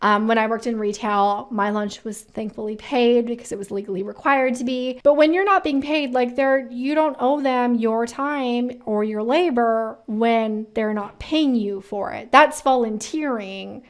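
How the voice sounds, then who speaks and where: A woman's voice: fairly high pitch, unhurried, few pauses. A woman hosting a career advice video, talking in a chatty, informal and emphatic way, freely giving her opinions. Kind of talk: chatty direct-to-camera commentary.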